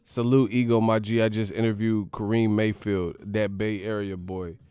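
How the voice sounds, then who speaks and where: A man's voice: lowish voice, flat delivery, moderate pace, warm tone, clear, resonant tone, dry recording, faint music behind. A man hosting a boxing channel who rants in a slangy, profane way, laughing and mocking as he talks. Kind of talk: profane comedic vlog rant.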